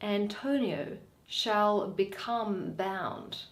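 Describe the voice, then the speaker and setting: A woman's voice: mid-range voice, extremely slow. A woman voicing a shrewd, bitter male moneylender in a Shakespeare play, drawing out and weighing each phrase. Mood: calculating. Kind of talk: dramatic Shakespeare reading. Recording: roomy echo.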